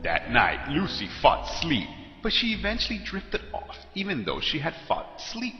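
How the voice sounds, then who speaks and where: A man's voice: on the high side, wildly swooping pitch, moderate pace, lo-fi sound. A man narrating a scripted scary story in a dramatic, suspenseful storytelling style. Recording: echoey long reverb, music behind. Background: clear background music.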